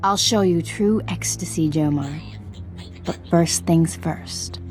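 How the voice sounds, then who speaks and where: Mocking, low-voiced dark Jedi villainess. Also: female voice, takes it slowly, over music, poor audio. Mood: seductive. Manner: mocking, seductive. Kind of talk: dramatic video game dialogue.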